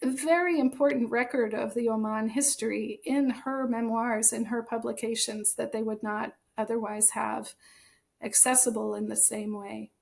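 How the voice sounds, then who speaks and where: An American woman, a guest on an interview podcast, answering in a thoughtful, measured way. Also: a little high, steady tone, gravelly. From born in Germany, raised in the United States. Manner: thoughtful, measured, with occasional soft laughs. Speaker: guest, author and translator.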